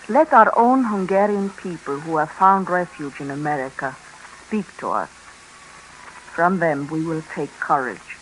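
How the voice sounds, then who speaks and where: An actor playing an earnest Hungarian teacher of thirty-three, reading a formal letter in a 1950s radio docudrama. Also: male voice, a little high, fairly bright tone. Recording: faintly noisy vintage radio recording.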